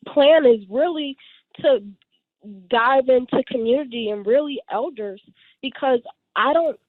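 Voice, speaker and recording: A woman's voice: sits high, measured pace, no room sound. A woman in her twenties from the United States calling in to a talk show by phone, talking casually and hesitantly as she gropes for words. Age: 20s. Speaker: phone-in caller. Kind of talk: casual call-in conversation. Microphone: phone call. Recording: tinny narrow-band phone line.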